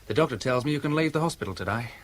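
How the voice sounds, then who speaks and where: An actor playing a wry, blunt police inspector in a 1970s radio drama, questioning in a folksy, methodical way. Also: male voice, mid-range voice, expressive, rapid-fire, natural voice. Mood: wry, unsympathetic. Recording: old radio drama recording, faint noise.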